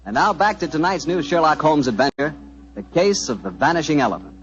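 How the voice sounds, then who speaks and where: A man's voice: fairly high pitch, lively intonation, fairly quick. An American man announcing on 1940s radio, with a chatty, folksy sales patter. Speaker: radio announcer and sponsor pitchman. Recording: dull lo-fi 1940s radio recording.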